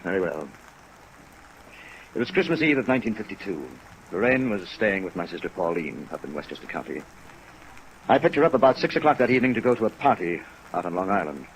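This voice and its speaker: Low-voiced genteel businessman. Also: fairly quick, pauses often, poor audio. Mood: rueful, reticent. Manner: formal, genteel, hesitant. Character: polite, evasive, rueful male brokerage company president. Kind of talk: 1950s radio drama acting. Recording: vintage radio recording under rain noise. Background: steady rain sounds.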